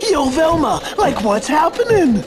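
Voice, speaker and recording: A goofy, cowardly young slacker for a cartoon game. He talks in slow, slangy phrases in a high voice, with loud music behind him. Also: very animated, full character voice. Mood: laid-back, goofy. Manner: slangy, laid-back, cracking into nervousness. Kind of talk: cartoon video game acting.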